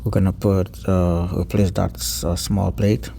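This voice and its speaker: A man giving an unscripted step-by-step repair tutorial, pausing mid-sentence and repeating words as he goes. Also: sits low, level tone, vocal fry, dark tone, a little hiss or hum.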